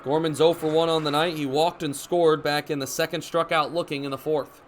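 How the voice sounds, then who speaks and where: A man's voice: on the high side, a little fast, soft background music. A young man calling baseball play-by-play for radio, speaking in short clipped phrases as live commentary. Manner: narrates action in short clipped phrases, building to excited calls.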